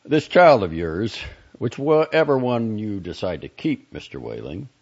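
Lofty centuries-old doctor, played by a male narrator: emphatic, oratorical, zealous, widely swinging pitch, storybook reading with a gravelly edge. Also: big pitch swings, a little slow, a little mellow, highs cut off, full character voice. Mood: preaching, zealous.

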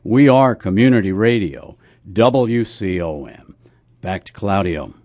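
A man hosting community radio, chatting casually about music in an unhurried, reflective way. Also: lowish voice, lively intonation, a little mellow, no room sound.

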